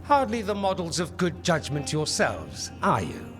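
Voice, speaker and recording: A man's voice: on the high side, wildly swooping pitch, unhurried, a bit bright, full character voice. A man playing a witty, haughty nobleman mage in a fantasy game, with an arch, polished, theatrical delivery. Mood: mocking. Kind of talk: theatrical cinematic game dialogue. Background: music.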